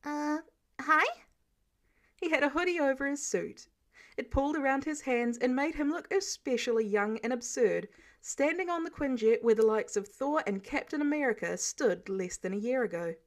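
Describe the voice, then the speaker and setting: Woman reading fiction out loud, highish voice, really animated and expressive. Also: moderate pace. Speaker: audiobook reader of fan fiction. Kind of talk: expressive scripted narration.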